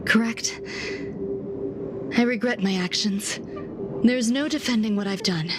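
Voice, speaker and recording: A woman playing a reserved, detached genius scientist in a video game, speaking in measured, formal, reflective lines. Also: on the high side, background noise, natural voice. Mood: detached, regretful. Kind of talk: scripted video game character dialogue. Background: background music.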